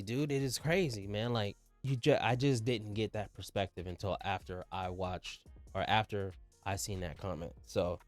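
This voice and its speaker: Male reaction host, lower register, dry close sound, slangy and repetitive, enthusiastic commentary. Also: dry recording. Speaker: music reaction video host.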